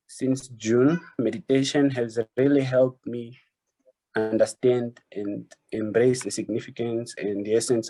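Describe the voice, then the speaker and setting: A man's voice: medium pitch, mellow, dry recording, low-quality recording. Young Namibian man, dry close sound. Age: young adult. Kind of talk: self-introduction on a video call.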